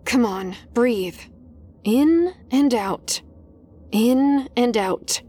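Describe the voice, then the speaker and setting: A woman's voice: fairly high pitch, lively intonation, normal speed, slightly rough, faint music behind. A woman voicing a nervous teenage best friend who talks in a tense, sarcastic, snappy way in a story reading. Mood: firm reassurance.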